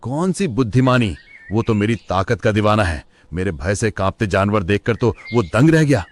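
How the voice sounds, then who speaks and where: A man's voice: on the low side, expressive, moderate pace, slight background noise, close to an everyday voice. A man voicing a boastful, power-hungry wolf villain in a cartoon moral story, with a domineering manner. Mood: greedy, boastful. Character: boastful, power-hungry male wolf who claims to be king.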